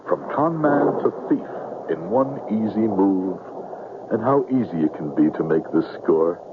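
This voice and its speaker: An actor playing a smooth-talking, anxious con man on the run in a 1970s radio drama, with florid, theatrical diction. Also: sits low, expressive, unhurried, narrow, tinny audio. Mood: tense, elated. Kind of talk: 1970s radio drama acting, first-person narration. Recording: noisy, phone-thin old radio broadcast.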